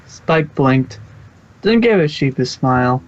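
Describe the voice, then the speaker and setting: A male amateur narrator reads a scripted story at a medium pitch. The recording is dull and lo-fi, like a home setup. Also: animated, slow pace.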